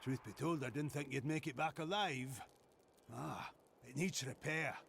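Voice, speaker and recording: An actor playing an earnest, plain-spoken village blacksmith with a troubled heart in a fantasy video game. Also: male voice, medium pitch, measured pace, vocal fry, fairly bright tone, a little hiss or hum. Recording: music behind the voice.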